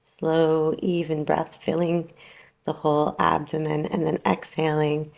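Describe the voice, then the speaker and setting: Female meditation guide, heavy vocal fry, very even intonation, soothing delivery with long pauses. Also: low-pitched. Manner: soothing, unhurried, gently instructing with long pauses. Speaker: yoga nidra teacher.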